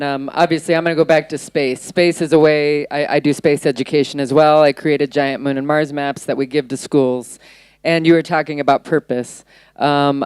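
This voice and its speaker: A woman with a notably deep voice talks bluntly and energetically, sharing her pledge with a panel audience. Her voice comes through smooth and resonant, with a dry, close sound and no room echo. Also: a little mellow.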